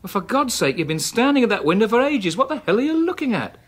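An actor playing a callous, sarcastic, bullying husband with a British accent in a radio drama. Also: male voice, very high-pitched, very animated, fast, fairly bright tone, exaggerated voice. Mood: impatient, bullying. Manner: hectoring, sarcastic, clipped repetitions. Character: callous, bullying, sardonic husband, impatient and scheming.

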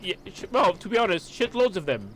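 A man from Portugal, talking over game voice chat in a halting, repetitive but polite way. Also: high voice, big pitch swings, crowd noise, rough recording. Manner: repeats words, halting, polite but firm. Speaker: rival clan player.

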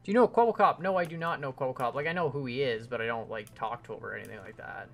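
A man's voice: medium pitch, quick pace, creaky, fairly bright tone. Chatty young male game streamer. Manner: chatty and rambling, answers viewer chat. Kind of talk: casual live stream chat while building in a game.

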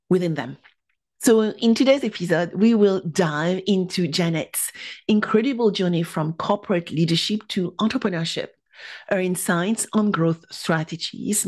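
Podcast host, woman, mid-pitched, upbeat, scripted intro then interview questions. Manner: enthusiastic, reads a scripted intro.

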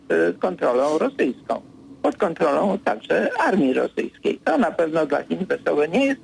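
A man's voice: sits high, gravelly, slight background noise, low-quality recording. An older man giving political analysis as a radio guest, speaking insistently and stressing his key points. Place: radio studio.

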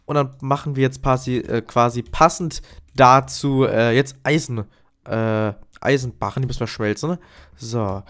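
A man's voice: medium pitch, moderate pace, a bit bright. A man with a cold, giving chatty, upbeat commentary while playing a video game and often addressing his viewers as friends. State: has a cold. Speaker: gaming YouTuber. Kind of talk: casual Let's Play commentary.

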